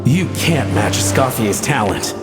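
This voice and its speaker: A man's voice: mid-range voice, expressive, a little slow, close to an everyday voice. An actor playing an admiring male restaurant regular in a video game. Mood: impressed. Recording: echoey hall reverb, faint music.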